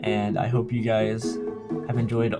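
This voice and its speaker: A man's voice: on the low side, flat delivery, measured pace. A young man giving a personal spoken message of thanks in a simple conversational way. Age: young adult. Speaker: grandson giving a tribute. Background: loud guitar music.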